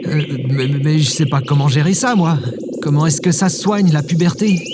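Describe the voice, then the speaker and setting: A man's voice: a little high, moderate pace, very noisy, natural voice. A man playing an awkward, kind cat owner with a hesitant, informal delivery in an audio drama. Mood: bewildered, tender. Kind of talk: scripted audio fiction acting, casual.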